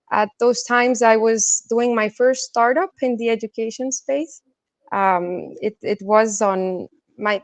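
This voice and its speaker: A woman's voice: a little high, no room sound. Woman, dry close sound, interview guest. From Colombia, also raised in the States and China. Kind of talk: casual conversational interview answers.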